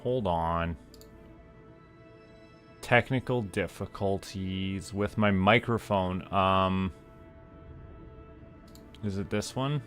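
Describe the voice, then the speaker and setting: A man hosts a casual livestream in a fairly low voice. He talks very slowly and haltingly, drifting into chatty asides to his viewers. Faint music plays behind him. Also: a bit bright. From Canada. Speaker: streamer, VTuber host.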